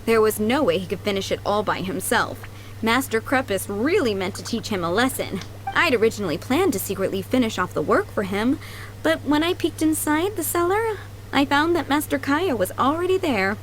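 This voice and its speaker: A kindly, motherly maid of middle age from a video game, played by an actress. Her voice sits fairly high and moves with lively melody. She chats politely and dotingly over soft background music. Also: normal speed, lightly acted. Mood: fondly reminiscing. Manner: polite, doting, gently chatty. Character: kindly motherly head maid, fondly remembering boys she raised. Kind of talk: video game character acting.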